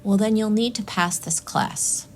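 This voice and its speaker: An actress playing a stern, unsympathetic academic adviser in an audio drama, firm and official in manner. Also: female voice, medium pitch, a little slow. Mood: stern, admonishing.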